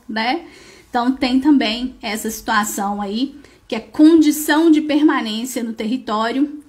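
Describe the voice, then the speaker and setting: A high-voiced Brazilian woman teaches law over a live online stream. She explains with lively energy and often poses questions before answering them herself. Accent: Brazilian Portuguese. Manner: animated explaining, asks and answers her own questions. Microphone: phone or webcam, live video stream.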